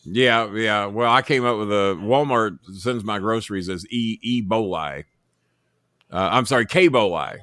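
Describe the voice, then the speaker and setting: A man hosting a casual livestream watch-along, rambling and repeating himself, often trailing off quietly. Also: on the low side, measured pace, no echo, studio quality. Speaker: livestream host.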